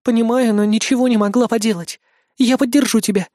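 A remorseful young idol girl with general's rank, pitched well up and pushed louder than the reader's own talk, as a man puts her on. She pleads softly in a dry, close audiobook recording. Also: steady tone, a little fast, no room sound. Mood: pleading. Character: young female idol who is a general, apologetic. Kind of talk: audiobook character voice.

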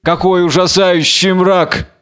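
Чтец в роли измученного пленника Самсона, звучный голос. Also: male voice, high voice, flat delivery, slow, fairly bright tone, clean tone, voice pushed up. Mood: haunted despair. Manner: declamatory, brooding. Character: tormented captive strongman, proud, haunted, crying from a pit. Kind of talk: dramatic reading of a biblical tragedy.

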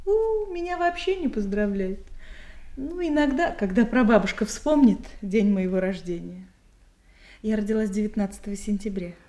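An actress playing a naive, gentle, candid young village girl in a theatrical radio play. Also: medium pitch, lively intonation, normal speed, close to an everyday voice. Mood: shy, candid.

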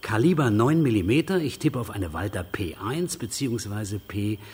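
An actor playing a sardonic, lecturing forensic investigator with a very husky, raspy voice in a radio crime drama. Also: male voice, on the low side, expressive, normal speed, a little mellow. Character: sardonic, know-it-all male forensic investigator.

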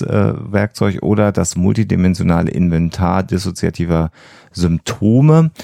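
A man explaining things as a podcast host in a halting way, with frequent hesitations. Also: sits low, gravelly, mellow, dry recording, clean studio sound. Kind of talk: explanatory science podcast talk.

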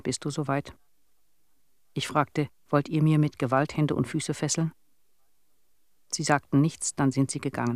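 A woman's voice: sits low, a bit bright, dry recording, clean studio sound. An older actress playing a lonely, sorrowful old village woman, telling her story plainly and reflectively in a radio drama monologue. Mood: quiet sorrow.

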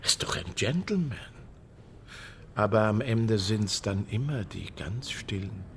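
A man's voice: fairly low pitch, lively intonation, a little slow, slight background noise. A servile theatre doorman. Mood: deferential. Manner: servile, clipped, says sir a lot. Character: deferential male theatre doorman. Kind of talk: German radio drama acting.

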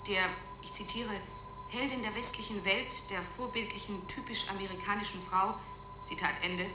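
An actress playing a brisk ground control operator in a radio drama, relaying official messages over a space radio link. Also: female voice, medium pitch. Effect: space radio transmission. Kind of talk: radio drama acting, formal radio transmission. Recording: noisy, echoing radio link.

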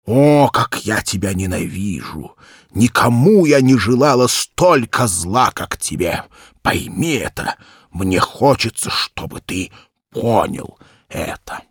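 A man's voice: lowish voice, lively intonation, slow pace, husky, no room sound, clean studio sound, voice pushed up, heavily acted. A man voicing a young governess seething with passionate hatred, speaking emphatically through clenched teeth. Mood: anguished, hateful.

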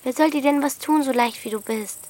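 A woman's voice: sits high, fairly quick, a little mellow. An actress playing a bold, bossy thirteen-year-old girl in a radio play, blunt and protective of her friend. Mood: urgent coaxing. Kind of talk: German radio play acting.

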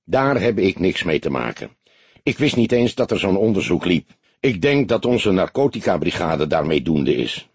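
Gruff, husky old detective played by a man. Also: deep voice, very animated, normal speed, darkish tone, dry recording, heavily acted. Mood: dismissive. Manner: curt, probing questions. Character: grizzled older police detective, gruff and terse.

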